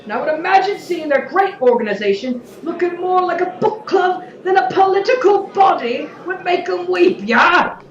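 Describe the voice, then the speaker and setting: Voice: very high-pitched, expressive, normal speed, few pauses, muffled recording. Echoey, argumentative young revolutionary. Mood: impassioned, indignant. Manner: argumentative, sardonic, tags sentences with "yeah?". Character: fiery, sarcastic young revolutionary council member. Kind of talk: audio drama acting, debate speech.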